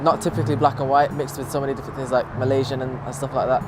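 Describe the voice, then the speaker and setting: A man's voice: medium pitch, little pitch movement, rapid-fire, background noise. A young man, a student, speaking thoughtfully and searching for words in a documentary interview. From raised in Manchester, born in London. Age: young adult. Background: music.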